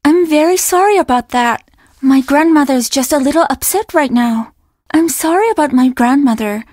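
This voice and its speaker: A woman's voice: high voice, normal speed, darkish tone, no echo, lightly acted. A woman voicing a courteous, reassuring young inn receptionist in a video game, eager to please. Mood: apologetic. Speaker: voice actor playing an inn receptionist. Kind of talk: video game dialogue lines.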